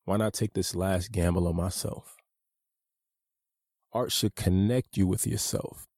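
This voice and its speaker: A man reads his own memoir in a measured, earnest, personal way. His voice sits very low and carries a gravelly edge. The tone is dark and mellow, recorded dry and close with no room sound. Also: steady tone, dry recording. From United States. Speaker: author narrating his own audiobook. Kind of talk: reflective memoir narration.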